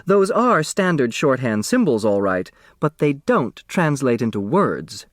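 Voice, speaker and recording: A man's voice: mid-range voice, sing-song, unhurried, no echo, studio quality. A man voicing a haughty, assertive mother in an audiobook, snippy and sure of herself.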